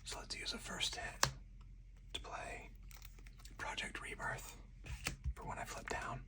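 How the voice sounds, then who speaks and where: A person whispering softly and breathily in ASMR style, narrating a solo card game move by move as the host. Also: takes it slowly. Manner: hushed, narrating each move aloud step by step.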